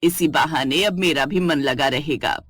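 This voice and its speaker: A woman voicing a fussy, grumbling older mother-in-law in an animated family story. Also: low voice, unhurried, breathy edge, no room sound, voice pushed down. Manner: complaining, fussy.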